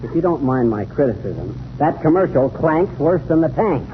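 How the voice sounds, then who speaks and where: An actor playing a folksy, cocky know-it-all with an American accent, slangy and brash, in 1930s radio comedy. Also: male voice, on the high side, sing-song, normal speed. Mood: wry. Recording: tinny, noisy 1930s radio recording.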